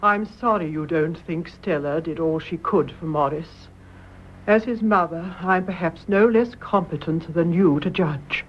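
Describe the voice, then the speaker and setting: An actress with a British accent playing an older, composed mother in a radio drama, measured, soothing and genteel. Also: lowish voice, dull audio, slight background noise, close to an everyday voice. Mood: calm, dignified. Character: composed older mother, gentle, dignified peacemaker.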